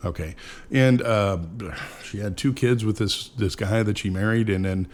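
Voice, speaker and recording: Low-voiced profane rambling man near fifty. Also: moderate pace. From California, USA. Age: around 50. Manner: rambling, profane, jokey storyteller. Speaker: podcast guest. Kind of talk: casual podcast chat.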